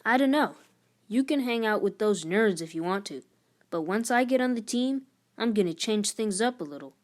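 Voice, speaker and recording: A woman's voice: mid-range voice, expressive, normal speed, voice pushed up, lightly acted. A woman voicing a proud, status-hungry middle-school boy in a storybook, with a casual, defiant manner. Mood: dismissive.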